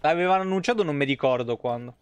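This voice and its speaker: Smooth-voiced Roman man streaming a game. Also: on the high side, quick pace. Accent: Roman Italian. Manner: colloquial, slangy, rambling asides. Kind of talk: casual live game commentary, chatting with viewers.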